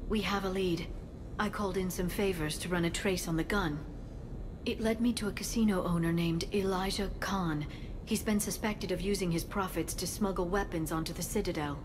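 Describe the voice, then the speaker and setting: An actress playing a composed, helpful alien information broker in a video game, speaking in a measured, polished manner. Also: female voice, lowish voice, fairly even intonation, noisy background, lightly acted. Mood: businesslike.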